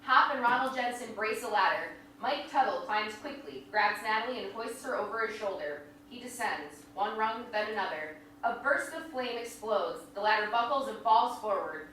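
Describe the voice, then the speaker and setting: A woman's voice: fairly high pitch, expressive, normal speed, hardly any pauses, fairly bright tone. A woman reading stage directions as dramatic scripted narration. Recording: echoey hall from far off, faint noise.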